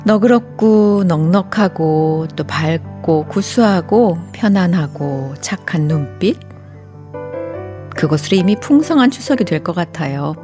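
A woman hosting a morning radio show, chatting gently with listeners, reading their messages and sometimes dropping to a whisper. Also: lowish voice, animated, measured pace, music in the background. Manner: soft, gentle and chatty, often drops to a whisper and laughs lightly. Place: radio studio.

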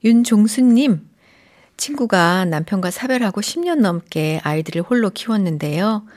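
A middle-aged woman hosting a radio show in a studio, chatting gently with listeners and often softening into a breathy near-whisper. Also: medium pitch, animated, moderate pace. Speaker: radio host. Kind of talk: warm radio chat reading listener messages. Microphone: studio microphone.

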